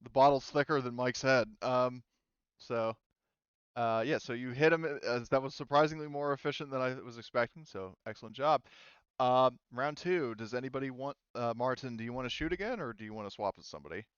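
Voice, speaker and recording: A man with a clear voice running a tabletop roleplaying game, talking casually and swearing now and then. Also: mid-range voice, fairly quick, fairly bright tone, no room sound, dull audio. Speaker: game master.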